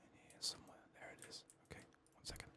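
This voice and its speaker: A soft-spoken man giving ASMR video game commentary, often slipping into whispers, his voice strained from being sick. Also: fast.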